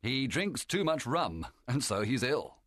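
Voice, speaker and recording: A calm, stern country doctor lays down the law, as a man reads him in a storybook. He speaks slowly and deliberately, his pitch rising and falling expressively, a little higher than the reader's usual voice. The sound is dry and close. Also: no room sound. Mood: firm. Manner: measured and firm.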